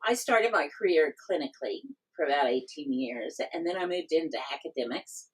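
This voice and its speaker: Low, husky-voiced woman professor. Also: expressive, fairly quick, rough recording. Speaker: professor and company founder. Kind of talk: podcast interview.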